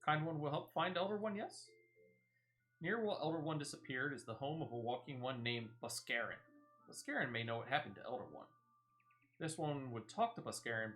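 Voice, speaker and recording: A man's voice: mid-range voice, fairly bright tone, natural voice. A man voicing a small, earnest, worried sylph from a video game, pleading in stilted third person. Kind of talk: video game quest dialogue read aloud.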